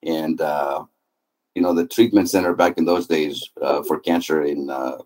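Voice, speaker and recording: A man's voice: rumbling low, fairly even intonation, moderate pace, a little mellow. A middle-aged man from Greece, a guest on an interview podcast, answering in a friendly, talkative way with frequent fillers. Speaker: guest, happiness author and speaker.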